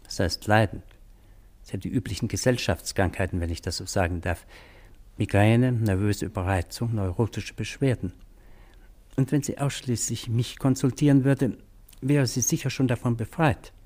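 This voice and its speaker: An actor playing a talkative, worldly doctor with dry wit and a gossipy streak in a German radio crime drama. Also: male voice, on the low side, moderate pace, a little mellow. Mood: chatty, wry. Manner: talkative, wry, slightly gossipy.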